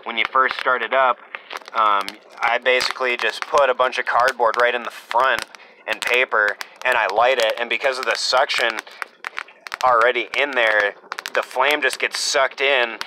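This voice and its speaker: A young man walking through his half-built homestead, talking casually and rambling with many fillers, in a voice he calls monotone. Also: mid-range voice, normal speed, background noise. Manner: rambling, lots of fillers, self-described monotone. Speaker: homesteader vlogger. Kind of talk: casual off-grid build vlog. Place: half-built earthship and outdoor rooftop.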